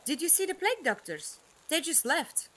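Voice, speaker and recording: Woman playing a plucky, high-voiced young companion. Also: big pitch swings, normal speed, a bit bright. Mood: relieved, frank. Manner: earnest, outspoken. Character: plucky, caring young female companion, quick to protest. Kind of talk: audio drama acting.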